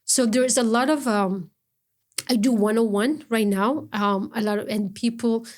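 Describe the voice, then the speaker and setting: A middle-aged woman guest on an interview, speaking earnestly in a halting way with frequent restarts and broken-off words. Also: on the high side. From Ontario, Canada. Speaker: guest, non-profit founder. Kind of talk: conversational interview.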